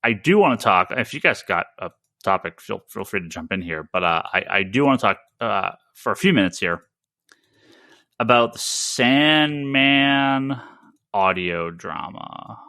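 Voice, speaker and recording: A man's voice: medium pitch, animated, slow, sharp tone, dry recording, clean studio sound. A man hosting a casual, informal discussion of comics news. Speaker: podcast host.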